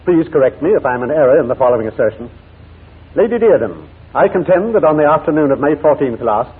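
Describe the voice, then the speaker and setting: An actor playing a relentless, theatrical defense barrister who questions formally and insistently in a 1940s radio courtroom drama. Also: male voice, fairly high pitch, husky. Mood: pointed, formal. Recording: muffled, narrow-band 1940s radio broadcast.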